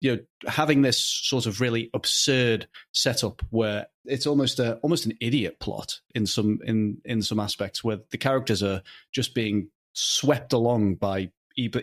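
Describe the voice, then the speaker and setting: Thoughtful man giving halting interview answers. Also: medium pitch. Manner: halting, thoughtful, often chuckling. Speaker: writer being interviewed. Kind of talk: conversational interview.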